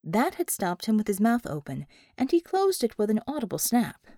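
A woman narrates an audiobook novel in a fairly high, animated voice. The delivery is scripted storytelling, captured very dry with no room sound. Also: normal speed, dry recording.

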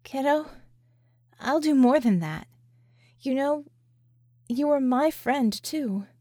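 A woman voicing a brisk, sassy but caring female friend in an audiobook, with breezy, slangy, bossy delivery. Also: sits high, expressive, mellow, dry recording, clean studio sound. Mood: fond, sad. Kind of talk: audiobook character dialogue.

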